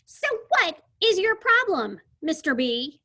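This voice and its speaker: A woman voicing a bossy, screechy little girl in a storybook read-aloud, with a squeaky, snippy voice. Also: very animated, slow, bright, forceful tone, heavily acted. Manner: shrill, demanding, sing-song.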